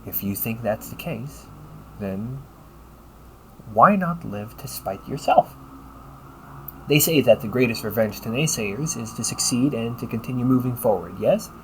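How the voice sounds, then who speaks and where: A man playing a polite, caring A.I. companion in an audio roleplay, speaking in measured, formal phrases and often checking with a questioning tag. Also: mid-range voice, lively intonation, darkish tone, a little hiss or hum, lightly acted, rough recording. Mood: earnest, reassuring. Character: polite, caring male A.I. companion, gently logical and encouraging. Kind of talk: audio roleplay addressed to the listener.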